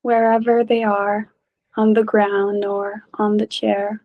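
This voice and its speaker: A woman leading a guided meditation in a gentle, calm, unhurried way, leaving long pauses between short phrases. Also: mid-range voice, narrow, tinny audio. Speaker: meditation teacher.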